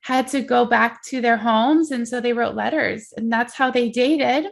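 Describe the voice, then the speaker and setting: A woman's voice: a little high, a little slow, gravelly. A woman from the Netherlands, a life coach guest, talking casually and reflectively in an interview.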